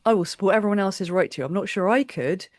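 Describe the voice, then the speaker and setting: An interviewer chats casually at a middling pitch. The delivery is animated and emphatic, wandering from thought to thought. The sound is dry, with little room around it. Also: fast talker, no echo.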